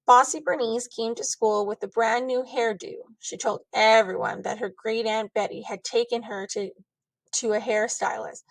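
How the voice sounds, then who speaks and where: A woman reading a children's book aloud with expressive narration, drawing out key words for emphasis. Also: fairly high pitch, moderate pace, dry recording, low-quality recording.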